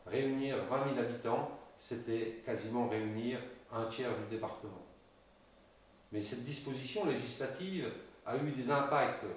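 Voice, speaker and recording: A man recorded from a distance in a very reverberant room. He presents a local campaign programme in a measured, didactic manner, with formal wording and a mid-pitched voice. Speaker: local election candidate presenting the list's programme. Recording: very echoey room, distant microphone.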